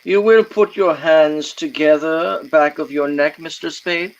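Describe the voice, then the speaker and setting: An actor playing a fussy, polite, furtive Greek crook with formal, hesitant phrasing, in old-time radio style. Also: male voice, a little high, measured pace, muffled recording. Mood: coolly menacing. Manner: formal, hesitant, over-precise.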